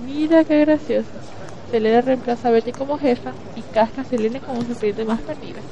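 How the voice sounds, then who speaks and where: Mujer haciendo de prefecta adolescente respondona, voz muy aguda. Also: female voice, a little high, a little fast, mellow, natural voice. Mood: sarcastic. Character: sarcastic, mouthy teenage schoolgirl prefect. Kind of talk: amateur Spanish-language radio play. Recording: dull, clearly noisy home recording.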